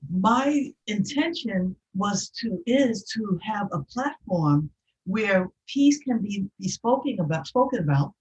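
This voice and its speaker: A woman host speaking earnestly and off the cuff, in a halting way with repeated phrases, on a distant, lo-fi recording. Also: mid-range voice, a little mellow. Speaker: host of a poetry platform. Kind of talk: earnest unscripted remarks. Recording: distant mic, dull lo-fi sound.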